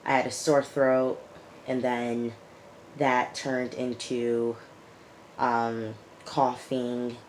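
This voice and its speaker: A woman with a raspy, hoarse voice from recent illness, reviewing a TV episode in a casual vlog and repeating her points for emphasis. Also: rumbling low, flat delivery, extremely slow, a little mellow, a little hiss or hum, rough recording. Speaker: YouTube TV reviewer.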